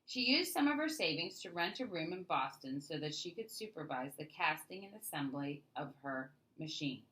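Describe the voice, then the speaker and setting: A woman's voice: low voice, animated, normal speed, a bit bright, poor audio. A woman reading a children's story aloud as narrator, leaning into the start of phrases and trailing off at their ends.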